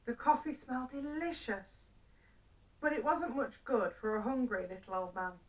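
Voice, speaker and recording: A woman storyteller reading a children's story aloud in simple phrases with deliberate pauses. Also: fairly high pitch, recorded from a distance, low-quality recording.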